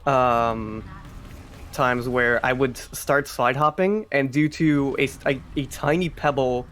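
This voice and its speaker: Halting man explaining a speedrun. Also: mid-range voice, fairly bright tone. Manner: halting, repeats words while explaining. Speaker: speedrun commentator. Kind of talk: explanatory live commentary.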